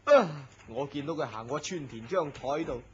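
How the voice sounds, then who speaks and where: An actor playing a nosy, superstitious office worker in a Hong Kong Cantonese radio drama, gossipy and full of exclamations. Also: male voice, fairly high pitch, animated, normal speed, lightly acted. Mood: gossipy, worried. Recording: muffled lo-fi audio with faint noise.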